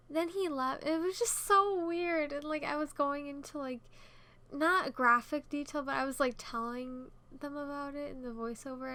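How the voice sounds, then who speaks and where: High-pitched, chatty teenage girl vlogger. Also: fairly bright tone. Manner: chatty, giggly, self-conscious and digressive. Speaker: YouTube gamer narrating a speed build. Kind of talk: casual rambling voiceover chat.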